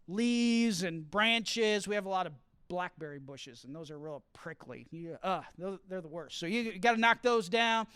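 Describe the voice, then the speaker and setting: A man giving a lively teaching talk to students, often asking the room questions as he explains. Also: high-pitched, clean tone, no echo, clean studio sound. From grew up partly in Costa Rica. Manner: asks the audience questions, explains with extended metaphor. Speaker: college speaker teaching study skills. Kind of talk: interactive classroom talk.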